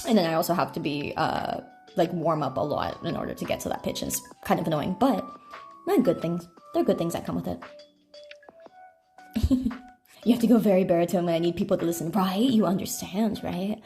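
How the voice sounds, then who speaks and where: A woman's voice: fairly quick, dark tone, faint music behind. A woman livestreamer chatting in a rambling, conversational way over a phone microphone in an echoey room. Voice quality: self-described deeper speaking voice. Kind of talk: casual livestream chat while eating snacks. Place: echoey indoor room at night.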